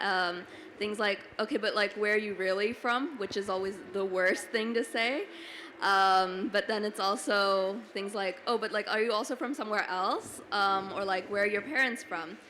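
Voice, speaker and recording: Give me a young woman, bright and casual, filler-heavy, on a panel. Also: medium pitch, slight background noise. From Toronto, Canada. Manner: casual, many "like" and "you know" fillers. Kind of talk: panel discussion answer. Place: book fair panel stage.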